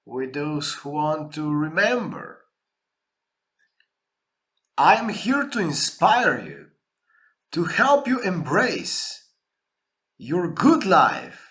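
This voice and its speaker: A man's voice: on the high side, fairly bright tone. A man from Slovenia, hosting his own podcast in an earnest, inspirational monologue. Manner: earnest, inspirational, drawn-out phrasing. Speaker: podcast host. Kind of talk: slow, reflective solo podcast monologue.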